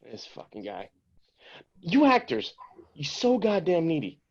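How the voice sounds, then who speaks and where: A man's voice: on the high side, wildly swooping pitch, unhurried, a little mellow, lo-fi sound. A man reading an angry, bitter male showrunner in a table read, heated and profane. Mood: scornful.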